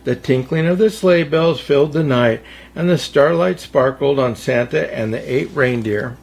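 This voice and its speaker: A man reading a children's storybook aloud as a storyteller, in a theatrical, deliberate way. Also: mid-range voice, vocal fry, darkish tone, husky. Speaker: storyteller, Santa story-time host.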